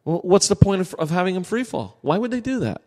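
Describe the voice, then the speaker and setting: A man's voice: high voice, very animated, fast, darkish tone. A man in a casual debate, speaking in a skeptical, challenging way and pressing with questions. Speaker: podcast co-host. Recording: reverberant hall-like room echo.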